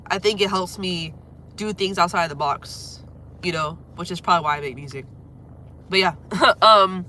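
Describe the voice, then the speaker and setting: A young woman from Florida with a deep voice and a cold, chatting rambly with viewers on a phone livestream at home. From Florida, USA. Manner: rambling, lots of fillers, trails off quietly. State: has a cold, congested and coughing. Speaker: musician livestreaming. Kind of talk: casual livestream chat with viewers. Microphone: phone held close.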